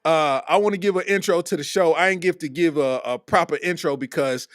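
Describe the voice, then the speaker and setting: A man from Kentucky hosts a comedy podcast in a loose, easygoing way. His voice sits high for a man. The sound is dry and close, with no room echo. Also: a little fast. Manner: laid-back, repeats "you know what I'm saying". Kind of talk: casual comedic podcast chat.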